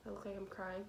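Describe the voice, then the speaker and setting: A young woman in her twenties with a cold, chatting casually through a makeup tutorial at home and sometimes whispering softly. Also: mid-range voice, vocal fry, very noisy. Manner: chatty, drops to soft whispers while applying products. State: congested with a cold. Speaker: beauty vlogger. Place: small room at home, indoors. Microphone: camera in front at close range.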